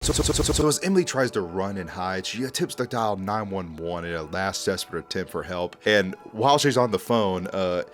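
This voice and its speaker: A man's voice: low-pitched, expressive, brisk, hardly any pauses, faint music behind. A man hosting a commentary video, casually recapping horror in a conversational, joking way.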